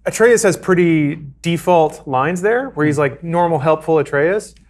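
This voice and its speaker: A man's voice: a little high, measured pace. A man on a podcast asking probing, analytical questions in a casual chat. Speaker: podcast co-host.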